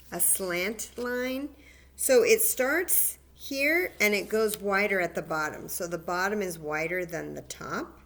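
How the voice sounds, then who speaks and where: Slow, patient woman teaching kids a craft. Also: mid-range voice, a bit bright. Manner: slow, patient step-by-step instructions to children. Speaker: library story time host. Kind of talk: children's craft demonstration.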